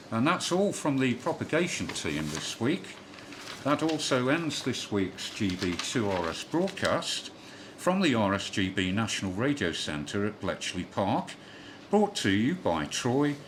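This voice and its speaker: A man's voice: lowish voice, slight background noise. A man reading a scripted amateur radio news bulletin in a formal read-aloud delivery.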